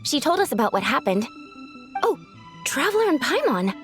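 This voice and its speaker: A woman voicing a gentle dream-eating yokai in the form of a young woman, polite and earnest in a video game. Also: sits high, big pitch swings, moderate pace, faint music behind.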